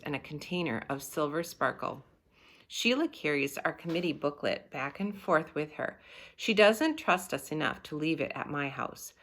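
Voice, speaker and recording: A woman's voice: low-pitched, fairly bright tone. A woman reading a children's story aloud expressively, like a teacher leading a read-aloud.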